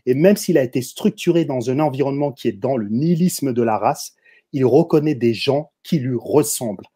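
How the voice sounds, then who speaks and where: Man, Parisian accent, husky, emphatic, lecturing tone, guest commentary. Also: medium pitch, moderate pace, dark tone. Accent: Parisian French. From France. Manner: emphatic, lecturing the audience directly. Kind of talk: opinionated discussion commentary.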